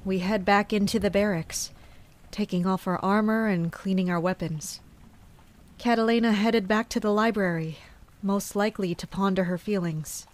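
An actress playing a dutiful woman captain of royal guards in an audio drama, measured, blunt and restrained. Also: medium pitch, steady tone, natural voice. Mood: brooding. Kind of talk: audio drama acting with inner monologue.

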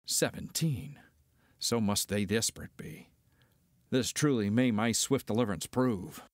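A man's voice: lowish voice, measured pace, no room sound, lightly acted. Man playing a dry-recorded roguish smuggler. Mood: cocky, wry. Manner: declaiming archaic verse. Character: cocky roguish smuggler pilot with wry conscience. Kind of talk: amateur Shakespearean dramatic reading.

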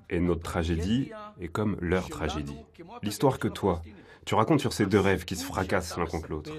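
An older man speaking earnestly in an interview, in a cluttered room indoors. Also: low voice. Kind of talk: conversational interview.

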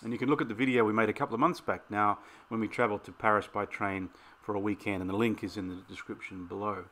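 A man's voice: lowish voice, steady tone, fast talker, fairly bright tone, slight background noise. A middle-aged Australian man hosting a travel vlog, casually giving practical tips.

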